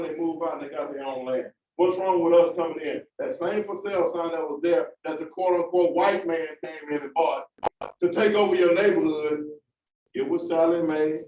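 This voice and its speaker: A man speaking emphatically at a community panel, with preacher-like repetition. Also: a little high. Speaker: formerly incarcerated community speaker. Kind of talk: impassioned panel remarks. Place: large meeting room. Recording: roomy, distant, dull audience recording.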